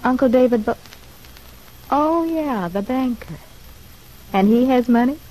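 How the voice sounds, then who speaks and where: A woman's voice: high-pitched, expressive, measured pace, warm tone, low-quality recording. A wisecracking woman convict in an old radio serial. She talks in a chatty, slangy way and loves to needle, with a mocking edge to her teasing. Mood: sardonic, probing. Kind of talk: old-time radio drama acting.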